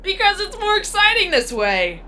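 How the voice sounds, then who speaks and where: A woman's voice: very high-pitched, wildly swooping pitch, moderate pace, sharp tone, slight background noise, full character voice. A woman playing a disembodied, coolly menacing female announcer of a deadly arena game in an audio drama, composed and instructive. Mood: coolly teasing. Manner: composed, instructive, matter-of-fact about death. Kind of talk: scripted audio drama acting.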